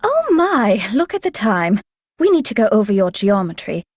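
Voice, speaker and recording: Mellow, composed governess. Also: female voice, mid-range voice, very animated, dry recording, studio quality. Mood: patient, prim. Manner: formal, measured, instructive. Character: strict, composed female governess tutoring a child. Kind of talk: video game character dialogue.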